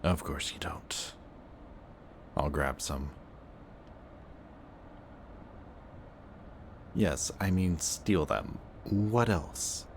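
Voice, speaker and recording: A man playing a wounded, protective antihero who insists he is a villain, in an emotional, intimate audio roleplay with a halting delivery. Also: low-pitched, a little hiss or hum, exaggerated voice. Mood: dry, subdued. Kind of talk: emotional audio roleplay acting, addressing the listener.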